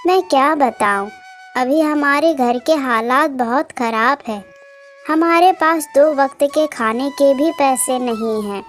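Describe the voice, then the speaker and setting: A woman as a very high-voiced humble village wife. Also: slow pace, soft background music. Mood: pleading. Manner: earnest, pleading, polite. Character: poor, humble, helpful young village wife. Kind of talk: cartoon moral story acting.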